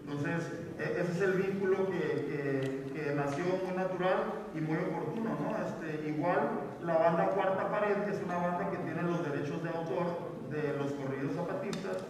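A man's voice: high voice, normal speed, few pauses, slight background noise. A Mexican man answering as an event official at a press conference, often ending phrases with tag questions. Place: indoor hall, panel table. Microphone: far camera. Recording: echoey hall from far off, crowd murmur.

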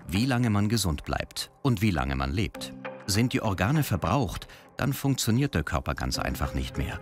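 Deep-voiced man narrating over music. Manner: measured, explanatory delivery. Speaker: documentary narrator. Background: music bed.

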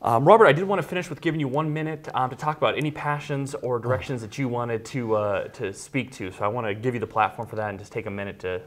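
A man's voice: mid-range voice, quick pace, few pauses. A man in his thirties interviewing a guest in a small TV studio, polite and slightly hesitant.

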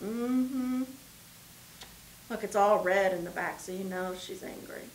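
A middle-aged woman, a librarian, reading a picture book aloud to children in an animated storytelling style. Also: medium pitch, slow, slight background noise. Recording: roomy, echoey sound.

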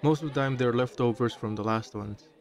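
A man voicing a shy, awkward high school boy in an audio roleplay, hesitant, self-conscious and stammering. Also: brisk, natural voice. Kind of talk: amateur audio roleplay acting.

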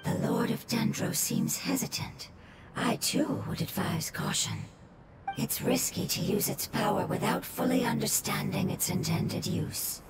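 Woman voicing a breathy, solemn fungus creature. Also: mid-range voice, natural voice, low-quality recording. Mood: cautious, firm. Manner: formal, measured, grave. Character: solemn, cautious floating fungus creature, formal and learned. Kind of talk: video game character acting. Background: loud game music.

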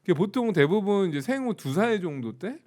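Male talk-show host, bright high voice, very animated delivery. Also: normal speed. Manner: chatty, laughing, explaining. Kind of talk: casual chat radio show.

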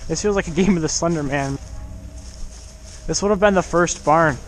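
A young man chatting casually and reacting excitedly as an exploration vlogger, recorded on a handheld camera. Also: a little high, normal speed. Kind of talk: casual chat while exploring. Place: dark abandoned haunted attraction, indoors and out. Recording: noisy handheld camera audio.

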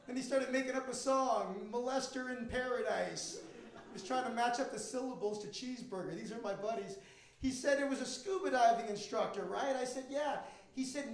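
A middle-aged American man performing a stand-up comedy routine on a club stage into a handheld microphone. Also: very high-pitched. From Miami, Florida, United States. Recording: echoey comedy club with audience. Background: live audience.

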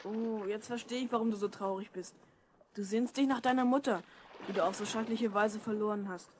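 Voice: mid-range voice, fairly even intonation, a little fast, a little hiss or hum, close to an everyday voice. An actor playing a curious, sympathetic twelve-year-old boy adventurer in a children's audio drama, inquisitive and earnest.

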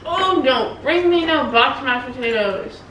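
A woman's voice: high-pitched, animated, unhurried, fairly bright tone, slight background noise. A young woman at a family dinner, giving her opinions with teasing asides. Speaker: family member. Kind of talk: casual family dinner chat. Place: dining room at home. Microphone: far camera across table. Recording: echoey room, distant mic, table clatter.

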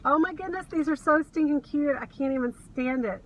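High-voiced American woman chatting in a car. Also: brisk. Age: middle-aged. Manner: gushing, enthusiastic. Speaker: reseller vlogger. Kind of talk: casual chat to camera, showing thrift finds. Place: inside a car. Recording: car cabin, distant mic, background noise.

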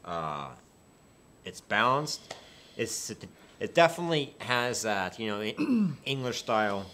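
A man in his thirties co-hosting a beer review, talking in a relaxed, musing way with many fillers and restarts, seated in a small indoor studio. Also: mid-range voice, a little slow. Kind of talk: casual tasting chat. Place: small indoor studio set with dark curtain.

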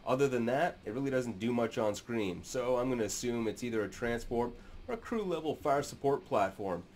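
A man's voice: mid-range voice, animated, brisk, darkish tone, a little hiss or hum. A man in his thirties hosting a sci-fi channel, seated in a small room and talking to his webcam with sarcastic, crude, mock-serious commentary. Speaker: sci-fi narration channel host. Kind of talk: sardonic commentary on camera.

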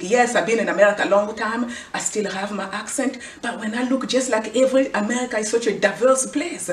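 Earnest high-voiced Congolese woman vlogger. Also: a little mellow. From Congo, Central Africa. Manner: earnest, preachy, repeats "guess what". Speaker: fashion vlogger and clothing designer. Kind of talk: motivational talk to camera. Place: indoor room with clothing rack. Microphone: far camera. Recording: echoey room from far off.

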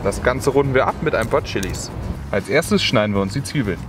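A young man casually talking through cooking at his outdoor camp, relaxed and chatty with many filler words, recorded on a camera at arm's length. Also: mid-range voice, fairly quick, music in the background. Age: young adult. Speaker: outdoor vlogger. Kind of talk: casual cooking vlog narration. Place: outdoors in a windy wood in rain. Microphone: camera mic at arm's length. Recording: outdoor wind and rain noise. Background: wind and rain.

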